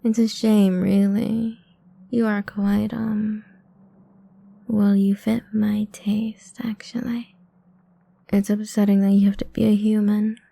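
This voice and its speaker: Actress as cruel, playful female vampire for ASMR roleplay. Slow, level delivery, soft and breathy, condescending taunts, half-whispered. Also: mid-range voice, little pitch movement, no echo. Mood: haughty, tempting. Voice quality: dark, soft, breathy, creaky.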